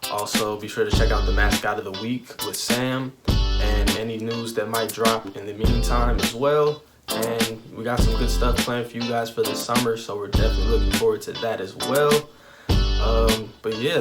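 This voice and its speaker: A young man hosting a casual interview podcast, upbeat and conversational, leaning on "you know" as he talks. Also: fairly low pitch. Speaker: podcast host.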